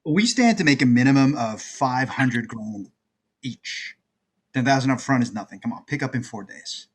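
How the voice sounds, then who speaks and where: A man playing a brisk, confident heist planner in a screenplay table read, matter-of-fact and decisive. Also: mid-range voice, animated, breathy edge. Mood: coaxing.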